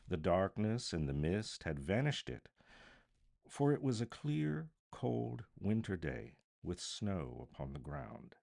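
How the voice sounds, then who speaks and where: A man narrating a classic story as a dramatic live reading, with theatrical, deliberate phrasing. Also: low-pitched, very animated, gravelly, darkish tone.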